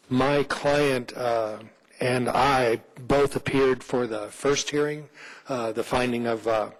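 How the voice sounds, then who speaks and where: A man with a rough, hoarse voice from laryngitis, an attorney making a formal argument before a licensing board, with many fillers. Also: medium pitch, poor audio. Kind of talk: formal legal argument at a hearing. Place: city licensing hearing room.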